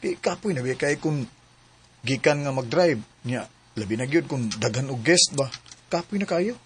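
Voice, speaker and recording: A man's voice: mid-range voice, animated, normal speed, slight background noise, lightly acted. An actor with a Cebuano accent playing an earnest young working man in love in a radio drama. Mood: gentle, persuading. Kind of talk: radio drama acting and narration.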